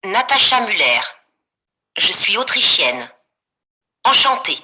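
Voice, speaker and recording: A woman voicing a scripted beginner dialogue for a French textbook, slow and clearly articulated. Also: medium pitch, very animated, sharp tone. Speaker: voice on course audio.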